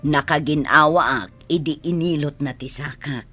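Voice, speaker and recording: A woman's voice: deep voice, normal speed, bright, forceful tone, soft background music. A Filipino woman playing an injured elderly mother in an audio drama, speaking plaintively. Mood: relieved, grateful. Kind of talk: Ilocano audio drama acting.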